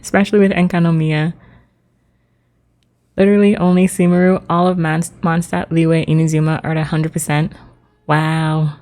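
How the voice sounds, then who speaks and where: A woman's voice: low voice, flat delivery, normal speed, darkish tone. A woman livestreamer chatting casually with viewers as she plays a video game. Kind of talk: casual livestream chat with viewers while playing.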